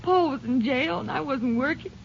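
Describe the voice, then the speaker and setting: A high-voiced, desperate young wife who is expecting a baby pleads and frets. The sound is a dull, lo-fi old radio broadcast with a faint hiss underneath. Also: female voice, normal speed, gravelly. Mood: distraught. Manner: pleading, distraught. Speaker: prisoner's wife. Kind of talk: 1950s radio drama acting.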